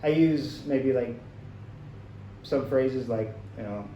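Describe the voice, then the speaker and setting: An American man with a dark, warm tone answers interview questions in an easy, plain-spoken way, leaning on "like" as he thinks. He is heard from across an echoey room, with a faint hiss underneath. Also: mid-range voice, takes it slowly. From United States. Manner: relaxed, plain-spoken, many "like" fillers. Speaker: teacher being interviewed. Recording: roomy, distant and slightly noisy.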